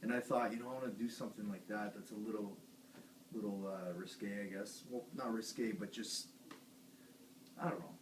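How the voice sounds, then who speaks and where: Rambling low-voiced man, roomy sound. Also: steady tone, fairly quick. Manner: rambling, hesitant, lots of fillers and restarts. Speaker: band guitarist. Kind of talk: casual band chat. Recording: echoey room, distant mic, faint hiss.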